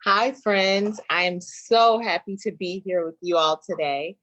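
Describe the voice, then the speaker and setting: A woman from Michigan, a guest author, reading a picture book aloud to children in an expressive, drawn-out way. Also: mid-range voice, dull audio. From Flint, Michigan, United States.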